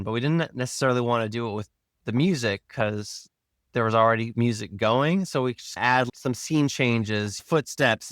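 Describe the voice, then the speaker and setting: Male, mid-range and smooth, dry close sound, casual explanatory guest on a behind-the-scenes podcast. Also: medium pitch, fairly bright tone, dry recording.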